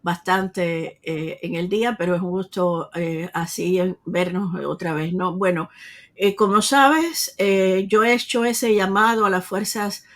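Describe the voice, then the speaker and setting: A woman's voice: lowish voice, fairly even intonation, measured pace. A woman, answering an interviewer earnestly, with frequent hesitations and restarts. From Cuba. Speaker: interview guest.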